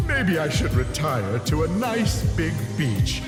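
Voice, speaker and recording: A petulant mad scientist from a cartoon game, scheming and full of self-pity. He draws words out slowly with sardonic, swooping melodrama, over loud music. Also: a little high, big pitch swings. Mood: whining. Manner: sardonic, melodramatic, drawn-out delivery. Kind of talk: cartoon video game villain acting.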